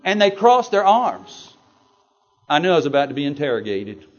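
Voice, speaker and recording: A man with a Southern US accent, a pastor telling a story in a sermon with animated, stammering asides. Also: on the high side, moderate pace. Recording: dull lo-fi sound.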